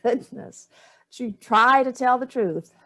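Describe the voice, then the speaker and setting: A woman's voice: a little high, takes it slowly, a bit bright. An older woman playing a haughty, evasive elderly mother in a staged play reading, dismissive and theatrical with barbed remarks. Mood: airy, cutting.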